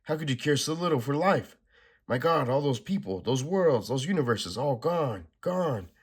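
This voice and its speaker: A defiant young superheroine, as a man puts her on, shouting with strained, raised intensity. She is brave and selfless. The voice pitches well above his usual and carries a slightly husky edge. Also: lively intonation, moderate pace. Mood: fierce.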